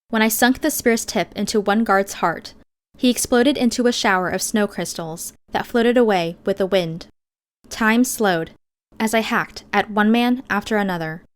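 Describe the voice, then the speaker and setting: A woman reading scripted first-person fiction as an audiobook narrator. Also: medium pitch.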